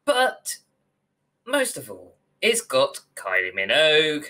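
A young British man with a bright, forward voice talks as a podcast co-host. He is informal and opinionated, rambling on in long, chatty stretches. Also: fairly high pitch, wildly swooping pitch, slow pace. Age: young adult. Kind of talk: casual ranting discussion. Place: indoor room at home, on video call.

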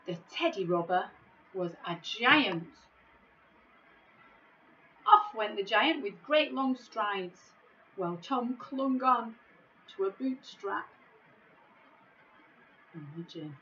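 A middle-aged woman reading a children's storybook in a measured, expressive way, recorded on a device microphone in an office. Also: mid-range voice, highs cut off, slight background noise. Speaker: school staff member reading a bedtime story. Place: small office, indoors.

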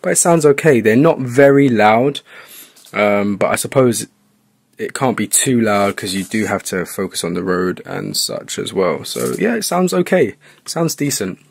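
Male how-to vlogger, fairly low pitch, casual and unscripted, talking through the task at hand. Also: sing-song, moderate pace, creaky, husky, no echo. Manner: informal, thinking aloud while working. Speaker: vlogger giving a how-to tutorial. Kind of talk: casual hands-on tutorial narration. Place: indoors at a wooden table in a home room.

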